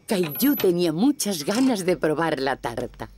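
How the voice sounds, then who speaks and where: A woman's voice: fairly low pitch, sing-song, unhurried, darkish tone, a little hiss or hum. A woman with a Castilian Spanish accent narrating a scripted children's story.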